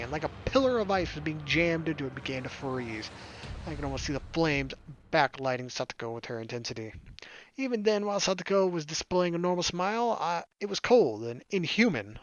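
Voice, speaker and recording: A man's voice: on the high side, animated, a little fast, a bit bright, rough recording. A man reading visual novel narration aloud and adding casual commentary as a gaming streamer. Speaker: let's play streamer.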